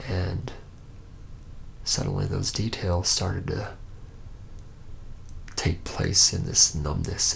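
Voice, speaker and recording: A reluctant young male vampire, acted by a man, recounts his past in an intimate ASMR roleplay. His voice is very low and heavily creaky, often dropping into a whisper. He speaks haltingly, with a rueful, haunted air. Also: fairly bright tone, full character voice. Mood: haunted, subdued. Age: young adult. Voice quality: breathy whisper mixed with low, gravelly murmur. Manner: halting, hesitant, pausing mid-phrase. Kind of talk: ASMR roleplay, hushed first-person storytelling.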